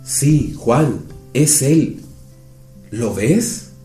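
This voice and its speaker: A man's voice: mid-range voice, animated, drawn-out words, warm tone, soft background music. A man playing an earnest, awed disciple in a radio play, questioning with wonder, recorded in an echoey room. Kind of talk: youth radio play acting.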